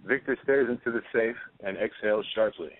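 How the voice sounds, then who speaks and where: A man's voice: fairly low pitch, a little fast. A man narrating a play script, reading out the stage directions. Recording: tinny phone-line audio.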